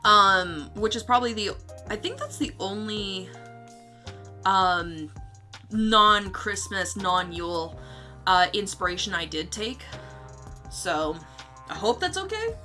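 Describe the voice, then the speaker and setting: Brief: female streamer, bright forceful voice, giggly and rambling, informal chat, music underneath. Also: medium pitch, very animated, slow pace, long pauses. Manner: giggly, rambling, swears casually. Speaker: livestream host and artist. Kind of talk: casual livestream chat about own story notes.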